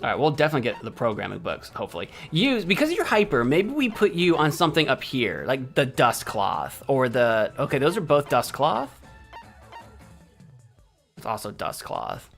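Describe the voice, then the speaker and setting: A man's voice: medium pitch, sing-song, moderate pace, creaky, fairly bright tone, soft background music. An American man casually thinking aloud while playing a game on stream, rambling, correcting himself and bantering with chat in a mock-indignant way. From United States. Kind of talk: casual gameplay commentary.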